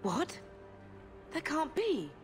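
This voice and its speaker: A woman's voice: high voice, huge pitch swings, very slow, full character voice. A woman playing an anxious, proud refugee mother in a fantasy game, speaking earnestly over music. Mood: dismayed. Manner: earnest, worried. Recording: music behind the voice.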